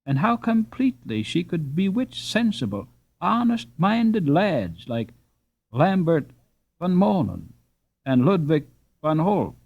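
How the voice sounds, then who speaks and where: A man reading a classic children's novel aloud in an expressive, lilting storybook manner. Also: on the high side, slow pace, creaky. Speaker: audiobook narrator. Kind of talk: scripted storybook narration. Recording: dull lo-fi recording.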